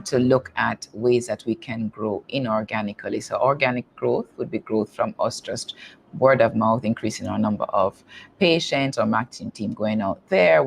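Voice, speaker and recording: A man speaking as a company executive, presenting results to shareholders in measured, formal phrasing with halting pauses. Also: on the low side, vocal fry, a little hiss or hum, rough recording. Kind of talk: semi-scripted earnings call presentation.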